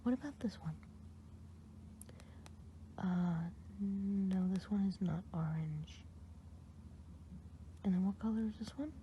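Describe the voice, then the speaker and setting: A woman playing a kindly witch who tests a patient's eyes, whispering softly and asking patient questions in an ASMR roleplay. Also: mid-range voice, slow, pauses often, dull audio, slight background noise, lightly acted. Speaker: ASMR performer.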